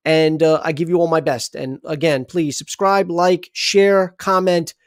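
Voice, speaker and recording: A man's voice: on the high side, steady tone, measured pace, no echo, studio quality. A man hosting a casual sports livestream, talking in a rambling, chatty way with many restarts.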